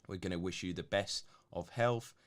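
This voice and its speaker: Low-voiced gruff male co-host. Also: moderate pace. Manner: relaxed, filler-heavy, laughs easily. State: slightly gruff voice. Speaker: co-host reading viewer questions. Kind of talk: casual live stream chat.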